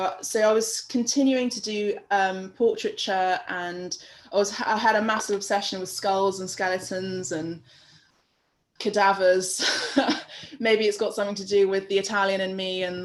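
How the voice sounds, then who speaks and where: A woman with a London accent, a guest artist talking casually in an interview over a video call, rambling with many fillers and soft laughs. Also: medium pitch.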